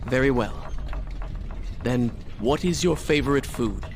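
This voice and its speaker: Noisy game audio carries a man playing a proud young prince. He speaks at a medium pitch with formal, measured phrasing. He is earnest and weary, weighed down by guilt. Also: lightly acted. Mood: dry, reserved. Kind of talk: video game cutscene acting.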